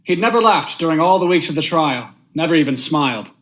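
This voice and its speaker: A man's voice: a little high, lively intonation, brisk, a bit bright. A young man acting a stern judge and narrator in a vintage radio drama, speaking formally and with measure. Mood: brooding. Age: young adult. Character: stern, unmoved male judge, husband and brooding narrator.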